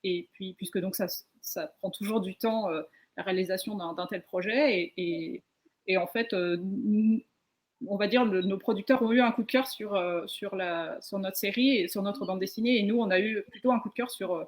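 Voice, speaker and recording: A woman's voice: medium pitch, normal speed. A woman speaking as a comic book writer on a panel, hesitant and often restarting her phrases. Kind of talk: spontaneous panel account.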